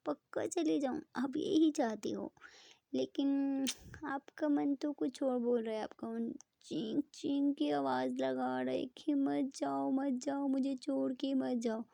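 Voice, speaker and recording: A soft-spoken, teasing young woman coaxes her partner, played by a woman narrator. She speaks in a fairly high, level voice with a gravelly, warm tone. The sound is dry and close. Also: fairly even intonation, a little slow, no room sound. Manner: coaxing, playful, soft.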